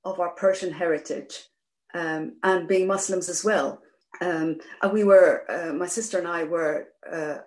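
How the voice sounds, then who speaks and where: A middle-aged woman from Iran, a guest in an interview, talking hesitantly with many fillers and restarts. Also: fairly low pitch. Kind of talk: conversational interview. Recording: roomy echo.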